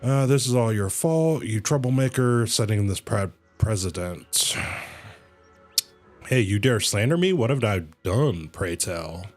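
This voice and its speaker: A man's voice: lowish voice, animated, measured pace, a little mellow, soft background music. A man voicing a pompous, bickering temple guardian from a fantasy game in a theatrical, grandiloquent way. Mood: accusing, then indignant, lofty. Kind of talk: reading game dialogue aloud.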